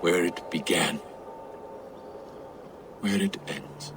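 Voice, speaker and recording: A man's voice: sits low, fairly even intonation, very slow, noisy background. A man playing a grieving, weary Norse berserker in a video game, speaking solemnly and poetically.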